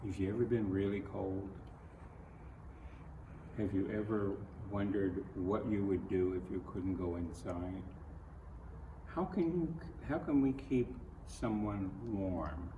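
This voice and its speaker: A man's voice: low-pitched, slow, highs cut off. An older man reading a children's picture book aloud, with folksy, grandfatherly asides spoken directly to young listeners. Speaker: storybook reader. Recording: roomy echo, distant mic, noisy background.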